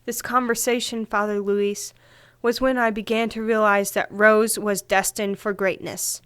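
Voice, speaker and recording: A woman's voice: medium pitch, level tone, measured pace, clear, resonant tone, no echo, studio quality, natural voice. A woman playing a polite, eager character who shares memories in an audio drama. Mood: polite, reminiscing.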